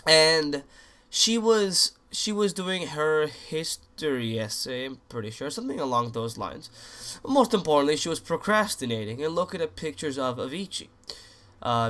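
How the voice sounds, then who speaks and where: A man's voice: fairly high pitch, bright, forceful tone. A young man who is a little sick, rambling in crude, joking gaming commentary with many restarts. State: sick, voice sounds off. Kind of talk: casual rambling commentary over gameplay.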